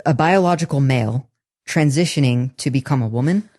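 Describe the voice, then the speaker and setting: A woman in her twenties with a somewhat deep voice, telling her own story as an interview guest in an earnest, candid way, with halting restarts. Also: dark tone. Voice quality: somewhat deep, masculine-leaning voice. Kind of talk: personal testimony in a podcast interview.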